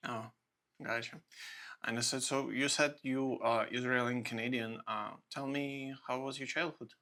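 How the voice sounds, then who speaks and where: A man hosts a podcast in loose, rambling and laughing as he goes. His voice is bright and a little forceful, set at an ordinary mid pitch. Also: fairly even intonation, moderate pace. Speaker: podcast host. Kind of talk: podcast interview.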